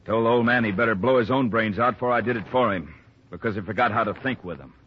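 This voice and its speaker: An actor playing a hot-tempered, bitter rancher's son in a 1950s radio drama, gruff and defensive. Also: male voice, lowish voice, quick pace, natural voice. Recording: muffled old radio recording, faint noise.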